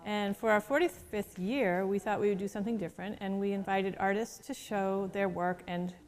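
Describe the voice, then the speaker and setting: Woman, museum spokesperson, upbeat, welcoming, mid pitch. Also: steady tone, moderate pace. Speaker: museum representative. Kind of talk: interview, promotional.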